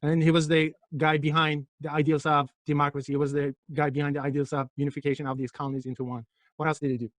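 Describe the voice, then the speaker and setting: A man's voice: on the high side, little pitch movement, fast, no echo. A middle-aged man from Turkey lecturing a physics class, joking and throwing rhetorical questions at his students.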